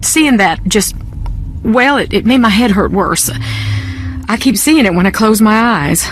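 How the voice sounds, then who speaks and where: An actress playing a shaken, upset woman in a video game, halting and distressed. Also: mid-range voice, expressive, soft background music, lightly acted.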